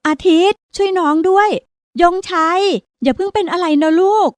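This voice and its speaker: Woman doing a frantic mom screaming for her kid, high strained voice, dry sound. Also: moderate pace, no echo. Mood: panicked. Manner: shouting in panic. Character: frantic grieving mother, middle-aged.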